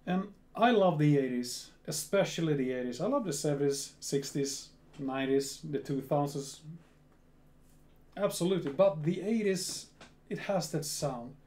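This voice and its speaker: A man's voice: on the high side, expressive, a little mellow. A man in his thirties from Sweden with a Swedish accent, chatting casually in a reaction video at home and often repeating words. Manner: slow, repeats words, restarts. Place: small home room full of gear.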